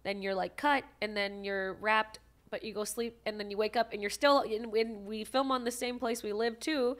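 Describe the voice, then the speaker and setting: Animated young woman from Maui. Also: a little high. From Maui, Hawaii. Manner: animated, quick storytelling with restarts. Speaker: actress guest. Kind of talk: casual podcast interview.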